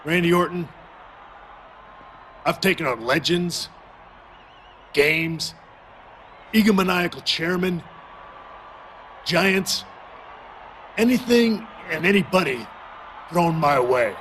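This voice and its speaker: A man's voice: a little high, animated, slow pace, pauses often, fairly bright tone, a little hiss or hum. A man playing a battle-worn, determined veteran hero wrestler in a video game, delivering an earnest, emphatic promo.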